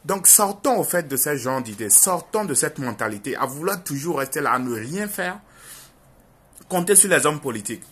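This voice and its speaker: A man's voice: on the high side, very animated, moderate pace, a bit bright, breathy edge. A young man with a Francophone African accent giving an informal opinion monologue to viewers, speaking earnestly and insistently and repeating phrases for emphasis. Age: young adult. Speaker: online video commentator.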